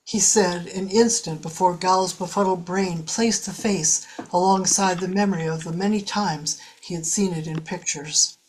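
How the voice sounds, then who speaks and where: A woman's voice: fairly low pitch, steady tone, normal speed, few pauses, slight background noise, low-quality recording. A woman reading scripted narration for an audio drama.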